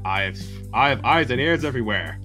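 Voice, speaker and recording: A man's voice: medium pitch, animated, normal speed, pushed and bright, soft background music. A man voicing a cocky, laid-back boss duelist in casual game roleplay, boastful but stumbling over his words. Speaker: player in a Minecraft roleplay. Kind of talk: improvised roleplay dialogue.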